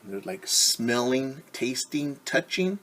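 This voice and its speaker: A man teaching young children, reading a picture book aloud and gently asking them questions. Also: mid-range voice, animated, slow pace. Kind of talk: children's story time read-aloud.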